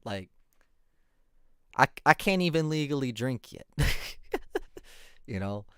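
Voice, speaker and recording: A man's voice: medium pitch, animated, slow, a bit bright, smooth, no echo, clean studio sound. A man in his twenties giving laid-back, chatty reaction commentary on music. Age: 20s.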